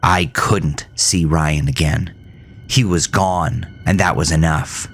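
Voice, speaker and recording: A man playing a grieving, haunted farmer who narrates a horror audio drama in a brooding, deliberate, theatrical way. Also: extremely low pitch, steady tone, fairly bright tone, slight background noise, heavily acted. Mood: grieving, bitter. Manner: brooding, deliberate, theatrical, dropping at times into breathy near-whisper. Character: grieving, haunted farmer telling his supernatural ordeal.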